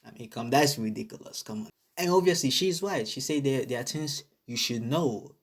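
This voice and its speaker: A man's voice: medium pitch, moderate pace. Blunt, swearing Nigerian man ranting. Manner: blunt, profane and emphatic, repeats points. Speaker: vlogger. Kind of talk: casual opinion rant to camera.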